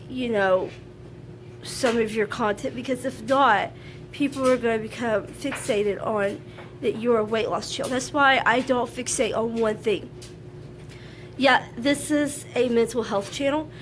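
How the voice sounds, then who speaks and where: A woman's voice: on the high side, a little hiss or hum. Nasal woman with a sinus infection. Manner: frank, chatty, opinionated. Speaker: vlogger. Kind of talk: casual talk to camera. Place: at home, laundry running.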